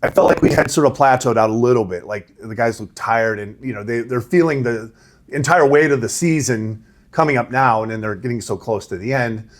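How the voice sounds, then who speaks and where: A middle-aged man with a big voice, a rowing coach answering interview questions in a relaxed, conversational way. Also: medium pitch, a little fast. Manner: conversational, laughs easily, says right? and you know.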